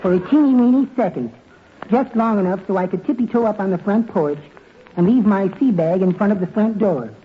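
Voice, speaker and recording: Timid little man male actor, precise deadpan delivery, 1940s radio comedy, phone-thin recording with light noise. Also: sits high, brisk, lightly acted. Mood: meek, deadpan. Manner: mousy, precise, prim, deadpan. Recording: tinny, hissy old radio recording.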